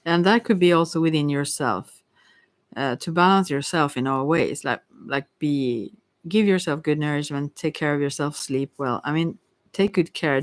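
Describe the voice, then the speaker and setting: A tarot card reader talking informally to listeners in a halting, rambling way, often stumbling over words. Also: medium pitch, no room sound.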